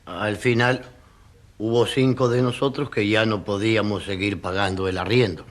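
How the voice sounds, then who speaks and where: An actor playing a poor, aggrieved tenant farmer giving plain-spoken, rustic testimony in a radio drama. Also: male voice, on the low side, steady tone, moderate pace, close to an everyday voice. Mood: sorrowful, bitter.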